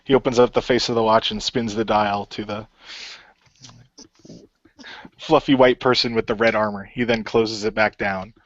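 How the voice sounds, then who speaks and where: A man's voice: fairly low pitch, steady tone, fairly quick, no room sound. A man reading scripted, descriptive story narration for an amateur radio play. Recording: dry, slightly muffled home recording.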